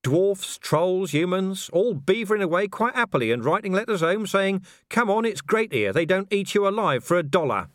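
A man's voice: high voice, very animated, moderate pace, few pauses, no room sound, pitched above the performer's own voice. A man voicing a cornered but defiant city watchman in an audiobook, dry, sarcastic and needling. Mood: needling bravado.

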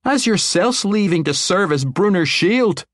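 A proud young dwarf general woman, played by a male reader, pitched very high with a firm brogue. She presses her claim bluntly and stands her ground, in a close, dry audiobook sound. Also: lively intonation, a little slow, dry recording. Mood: pressing.

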